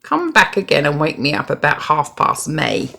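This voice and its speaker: A woman's voice: low voice, very animated, moderate pace, creaky, sharp tone, full character voice. A woman voicing a sleepy, grumpy, reluctant male toad in a children's story, drowsy and grumbling.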